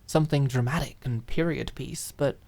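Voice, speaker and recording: Expressive woman narrating dramatic fiction. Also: extremely low pitch, moderate pace. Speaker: audiobook narrator.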